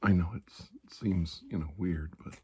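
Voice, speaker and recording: A man playing a calm, reassuring doctor in a soft-spoken ASMR medical roleplay, often dropping into a whisper with slow pauses. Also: sits low, natural voice. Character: calm, reassuring male doctor treating an alien affliction.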